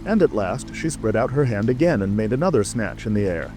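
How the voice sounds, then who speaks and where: A man's voice: fairly low pitch, sing-song, fairly quick, darkish tone, a little hiss or hum. A man narrating a scripted storybook as an audiobook reader. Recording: music and rain ambience behind. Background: music, rain.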